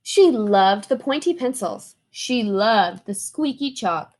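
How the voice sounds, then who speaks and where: A woman reading a children's story aloud with expressive, emphatic phrasing. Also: mid-range voice, slow pace.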